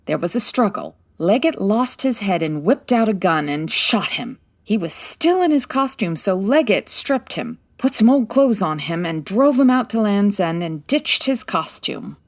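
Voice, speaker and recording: A woman's voice: mid-range voice, big pitch swings, a little slow, few pauses. A woman playing a poised, wry 1940s private detective in a comedy audio drama, articulate and dry-witted. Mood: composed, explaining.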